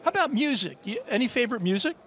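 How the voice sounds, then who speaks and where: A man's voice: extremely high pitch, very animated, rapid-fire, fairly bright tone. An older man interviewing players in a folksy, teasing way on a handheld mic. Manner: folksy, joking, teasing questions. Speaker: sports interviewer. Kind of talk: friendly sideline interview. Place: indoor media backdrop at a football event.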